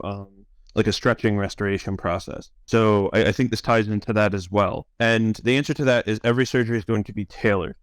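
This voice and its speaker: Male science officer for a Q&A call: low, crisp voice, informal, measured explanations, close dry sound. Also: dry recording. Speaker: chief science officer answering donor questions.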